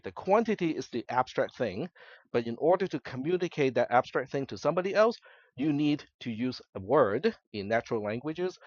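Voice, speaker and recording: A man's voice: medium pitch, no room sound. Lecturer, male, first language Cantonese, conversational classroom talk, slightly muffled dry recording. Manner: conversational, checks in with students often. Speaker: college instructor.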